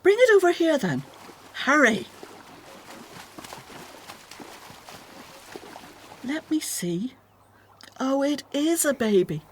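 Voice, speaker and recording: An actress playing a willful, commanding Egyptian princess in a radio drama, haughty but with a tender streak. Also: female voice, sits high, very animated, unhurried, slight background noise. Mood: excited, tender. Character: imperious, willful Egyptian princess, softening to babies.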